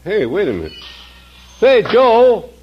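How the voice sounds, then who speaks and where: An actor playing a brisk, offhand butcher in 1940s radio comedy style. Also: male voice, high-pitched, huge pitch swings, exaggerated voice. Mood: businesslike, calling out. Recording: muffled old radio recording with music.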